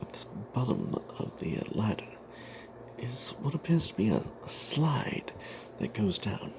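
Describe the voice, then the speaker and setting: A man telling an off-the-cuff fantasy game story in ASMR style, breathy and half-whispered, halting, with playful old-fashioned flourishes. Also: fairly low pitch, huge pitch swings, rough recording. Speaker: storyteller narrating a tabletop game tale.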